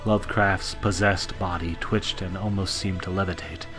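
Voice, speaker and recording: A man's voice: low-pitched, fairly even intonation, moderate pace, over music. A man giving dramatic, scripted narration for a horror-style audio drama.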